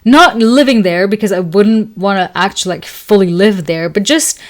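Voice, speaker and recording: A woman's voice: medium pitch, normal speed. A young woman whose first language is Serbo-Croatian talks through a story in loose, conversational English. She leans on lots of fillers, trips over her words and starts again, and laughs now and then. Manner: chatty, many fillers and restarts, laughs often. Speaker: astrology podcast host. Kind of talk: casual storytelling monologue.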